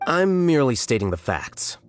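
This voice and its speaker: An actor playing an aloof, coolly rational scholar in a video game, measured, precise and deadpan. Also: male voice, mid-range voice, natural voice. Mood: detached. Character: aloof, coolly rational young male scholar, dryly cutting. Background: music.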